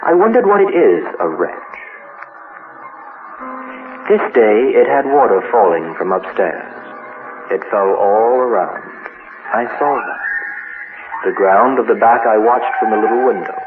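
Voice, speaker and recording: A man reading a horror story in a formal, measured, theatrical style through a radio filter. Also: fairly low pitch, lively intonation. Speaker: horror story host and reader. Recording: tinny old-radio sound, music behind.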